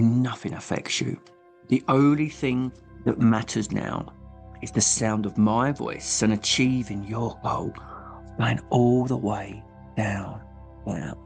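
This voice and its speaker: A man's voice: medium pitch, creaky. Soothing male hypnotherapist over music. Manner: soothing, hypnotic, with deliberate pauses and soft trailing phrase endings. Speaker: hypnotherapist leading a guided meditation. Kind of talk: guided hypnosis meditation.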